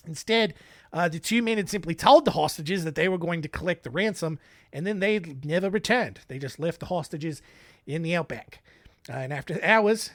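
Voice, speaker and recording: A man's voice: a little high, fairly bright tone, no echo, clean studio sound. A man telling crime stories for laughs in a shaky put-on Australian accent, rambling into self-mocking asides. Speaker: storyteller. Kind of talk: comedic true-crime retelling.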